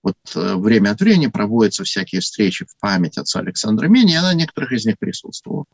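A man with a husky voice giving an unscripted talk on church history, thoughtful and full of hesitations. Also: on the low side, very animated, very fast, dry recording.

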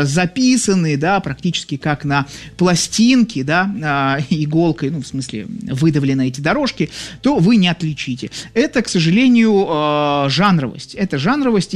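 A man from Leningrad hosting a live music stream, chatting casually with viewers, hesitant with many fillers and a slight burr on his r sounds. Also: on the high side. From Leningrad, Russia. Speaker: radio-style music show host.

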